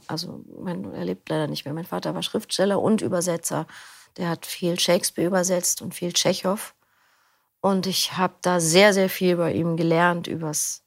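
A woman's voice: fairly low pitch, vocal fry, dry recording, clean studio sound. A middle-aged woman answering interview questions in a thoughtful, rambling way, often restarting her sentences. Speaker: interview guest, audiobook narrator.

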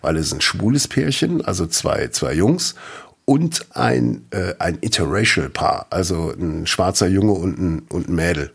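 A German man from the Eifel region chats casually as co-host of a podcast. His voice sits low and sounds slightly husky. He rambles, restarts his sentences and tells his stories with animated detail. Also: unhurried. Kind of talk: casual chat.

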